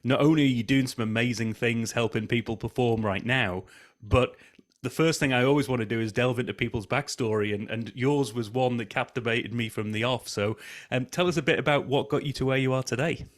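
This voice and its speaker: A man's voice: mid-range voice, a little fast. A man hosting a podcast, giving an upbeat, welcoming introduction to his guest. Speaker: podcast host.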